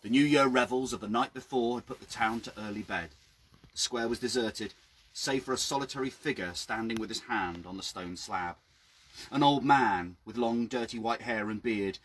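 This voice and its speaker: A man reading his own story dramatically outdoors, with measured, hushed and suspenseful delivery. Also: fairly low pitch, slightly rough, poor audio. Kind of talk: dramatic scripted story narration. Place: outdoors by a town well.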